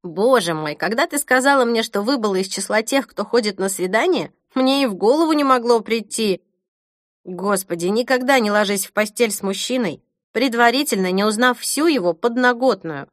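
A woman's voice: fairly high pitch, expressive, moderate pace, no room sound, studio quality, natural voice. A woman voicing a blunt, joking young woman who works in a care home, in a storybook reading. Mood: scolding. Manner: teasing, blunt.

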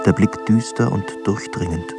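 Deep, creaky male narrator over music. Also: moderate pace. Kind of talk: scripted literary radio drama narration.

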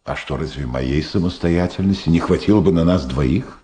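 A man's voice: low voice, normal speed, mellow, slight background noise, natural voice. An actor playing a busy, self-important middle-aged prosecutor and evasive father in a Soviet radio drama, with hesitant, blustering speech. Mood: jovial, assertive.

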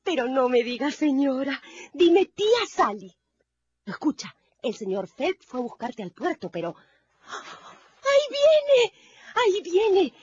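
An actress playing an excitable, affectionate farm aunt who fusses and exclaims in a radio drama. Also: female voice, high voice, slow, no echo, exaggerated voice. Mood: fussing. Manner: fussing, exclamatory. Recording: dull lo-fi radio recording.

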